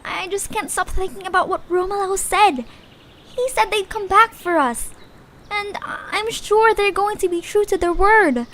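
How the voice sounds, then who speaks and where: A woman's voice: extremely high pitch, unhurried, fairly bright tone. A woman playing a worried, sensible and wry little creature in an audio story.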